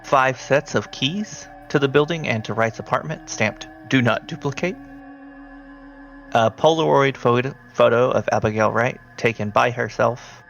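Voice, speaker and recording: A man narrating a tabletop roleplaying game as game master, speaking haltingly and deliberately. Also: medium pitch, vocal fry, fairly bright tone, highs cut off. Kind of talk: narrating a tabletop roleplaying game, partly in character as a briefing handler.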